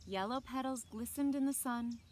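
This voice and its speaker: A woman in her thirties reading a children's picture book aloud expressively, with deliberate phrasing and dramatic emphasis. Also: a little high, a little mellow. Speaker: library story time reader. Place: outdoors on a lawn by trees. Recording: outdoor background noise with birdsong. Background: outdoor ambience, birds.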